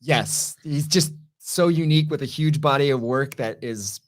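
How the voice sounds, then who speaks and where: A man co-hosting a review show, chatting casually with enthusiasm and emphasis. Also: fairly high pitch. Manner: enthusiastic, emphatic, quick to banter. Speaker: co-host of an entertainment review show.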